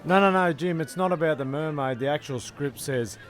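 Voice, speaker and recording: A man playing a sensible castaway who gently corrects others in an amateur comedy audio drama. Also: a little high, a little fast, soft background music, lightly acted. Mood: practical.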